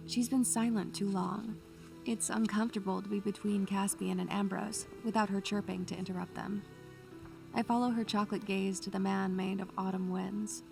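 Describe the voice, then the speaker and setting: A woman playing a wistful, curious young student who narrates an audio drama in measured, poetic phrases. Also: medium pitch, gravelly. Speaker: narrator and lead character. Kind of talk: first-person audio drama narration and dialogue. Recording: music under the voice.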